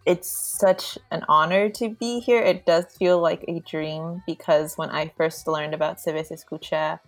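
A woman's voice: deep voice, fairly even intonation, moderate pace, no echo, faint music behind, poor audio. A young woman from Argentina, a podcast guest, chatting casually with lots of fillers. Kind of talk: casual conversation.

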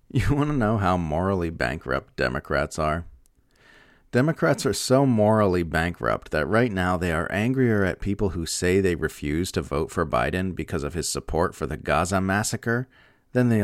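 A man's voice: sits low, gravelly, no room sound, clean studio sound. A man reading a scripted political opinion essay aloud in an emphatic, sardonic way. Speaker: narrator reading political commentary.

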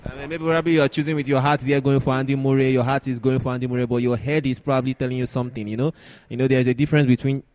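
A man's voice: mid-range voice, little pitch movement, rapid-fire, hardly any pauses, a little mellow, dry recording. A man hosting a sports podcast in a conversational way, often repeating and rephrasing as he discusses and poses questions.

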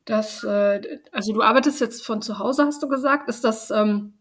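A woman's voice: fairly high pitch, moderate pace. A woman hosting a podcast interview, asking questions in a casual way with many fillers. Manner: friendly, hesitant questions with fillers. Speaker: podcast host.

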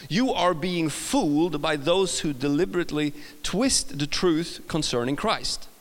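A man with a Swedish accent blended with British and American sounds preaches as a guest in a church. He is casual and self-deprecating, with many restarts. His slightly high, resonant voice rings with long reverb in a large hall. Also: a bit bright. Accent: Swedish, mixed with British and American. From Sweden. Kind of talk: sermon.

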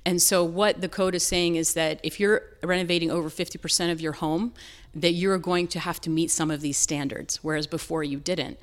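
Lower-pitched American woman in an interview. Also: fairly even intonation, fairly quick. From North Carolina, southern United States. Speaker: interview guest, real estate and design business owner. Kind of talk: conversational interview answers.

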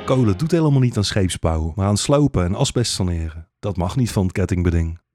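A man's voice: low-pitched, expressive, a little fast, over loud music. A man narrating a satirical fairy-tale radio play as a storyteller.

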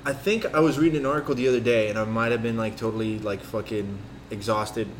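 A man's voice: on the low side, steady tone, quick pace. A man hosting a podcast, rambling and swearing in casual chat, often losing his train of thought. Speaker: podcast host. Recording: faint background noise.

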